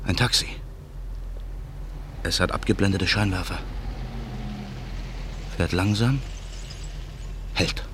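An actor playing a brooding man bent on revenge, narrating his own thoughts in a radio play with a husky voice. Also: low voice, noisy background. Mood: brooding, tense. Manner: slow, brooding inner monologue. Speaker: narrator and lead character.

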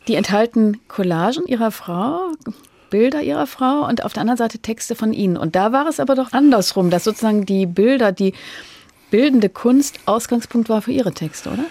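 Mellow-voiced female interviewer, close and dry. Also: a little high, no room sound. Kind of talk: literary interview, considered questions.

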